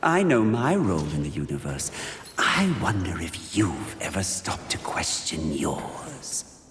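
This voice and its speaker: Silky, slow, taunting demon. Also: male voice, sits low, huge pitch swings, a bit bright, exaggerated voice. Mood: taunting, probing. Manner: silky, theatrical, drawn-out taunting delivery. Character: Lust, suave seductive taunting demonic sin. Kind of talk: video game cutscene acting. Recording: echoey hall reverb, faint noise.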